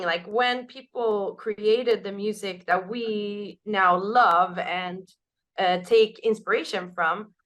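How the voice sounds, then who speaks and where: A woman singer with a naturally raspy voice, answering interview questions in a loose, candid way, full of "like" and "you know". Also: medium pitch, fairly bright tone. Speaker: band singer.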